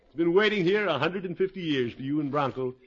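An actor playing a sly, blustery middle-aged uncle in a 1950s radio comedy, hemming and theatrical. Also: male voice, fairly high pitch, animated, fast talker, natural voice. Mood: smug, sentimental. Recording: vintage radio recording, narrow band, hiss.

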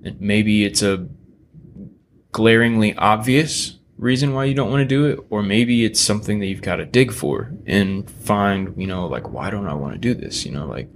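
A man's voice: lowish voice, moderate pace, slight background noise. A man co-hosting a podcast, explaining his views earnestly and checking in with "right?" as he goes. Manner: earnest, reflective, uses "right?" often. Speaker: podcast co-host. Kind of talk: casual podcast chat.

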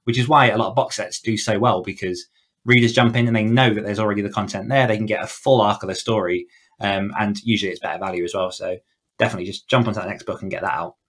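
Low-voiced man explaining as interview guest. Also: steady tone, fast, a bit bright. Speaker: guest author and writing coach.

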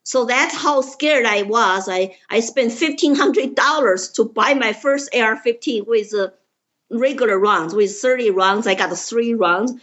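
A woman's voice: fairly high pitch, a little slow, a bit bright, muffled recording. A middle-aged woman from China with a Chinese accent, telling her story earnestly and emphatically in an interview, her grammar simple and direct. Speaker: political candidate, guest.

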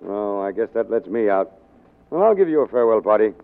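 An actor playing a tyrannical, scheming newspaper managing editor in a sarcastic, bossy 1940s radio drama style. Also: male voice, lowish voice, very animated, vocal fry. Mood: mock sympathy. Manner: sarcastic, bossy, fast-switching from barking to wheedling. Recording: muffled narrow-band 1940s radio recording.

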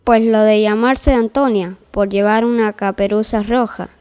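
Woman playing fairy-tale narrator, crisp voice, mild, hesitant, easily flustered, comic audio story, faint music behind. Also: mid-range voice, steady tone, normal speed, a little mellow, natural voice. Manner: hesitant, accommodating.

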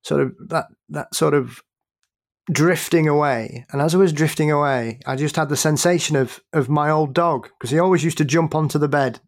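A man appearing as a podcast guest, telling a personal story in an earnest, halting, measured way. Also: fairly high pitch. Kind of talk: casual conversation.

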